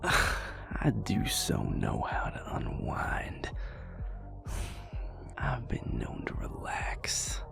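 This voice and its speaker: Man acting very deep, gravelly, murmuring vampire boyfriend. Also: wildly swooping pitch, extremely slow, pauses often, in a whisper, exaggerated voice. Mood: reluctant, grumbling. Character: gruff, reluctant vampire boyfriend, teasing and tender. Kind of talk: intimate audio roleplay to the listener. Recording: music playing behind the voice. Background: loud club music.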